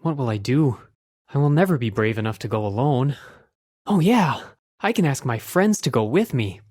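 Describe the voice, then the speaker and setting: Woman voicing an anxious, low-pitched young storybook man. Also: expressive, moderate pace, darkish tone, slightly rough, faint music behind, full character voice. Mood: worried. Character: anxious, earnest young man seeking a loyal friend.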